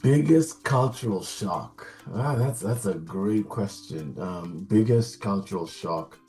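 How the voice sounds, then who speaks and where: A man's voice: on the low side, expressive, slow pace, warm tone, soft background music. A man from Nairobi with a Kenyan accent, answering interview questions as a guest in a reflective, earnest way. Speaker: interview guest, community leader.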